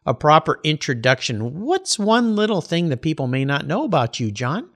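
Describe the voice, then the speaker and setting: A man's voice: fairly high pitch, very animated, moderate pace, fairly bright tone. An American man hosting a podcast with an upbeat, emphatic delivery, moving between interview talk and scripted reads. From United States. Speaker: podcast host.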